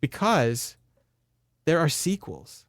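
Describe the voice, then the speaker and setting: A man's voice: on the high side, very animated, slow pace, dry recording, clean studio sound. A man co-hosting a podcast, recounting a book in casual chat with wry, mock-grandiose humor. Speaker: podcast co-host.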